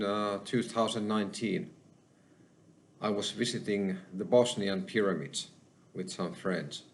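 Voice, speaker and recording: A man with a Finnish accent, hosting his own channel and talking alone to the camera, slow and halting, with many pauses. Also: fairly low pitch. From Finland. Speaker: channel host. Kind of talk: solo spoken monologue.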